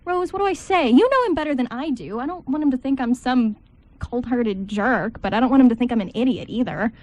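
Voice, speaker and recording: Radio play: awkward, anxious bridesmaid female actor, rambling nervously in a high voice. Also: a little fast, a little mellow, natural voice. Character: awkward, anxious maid of honor, shy around men. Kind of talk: amateur radio play script reading.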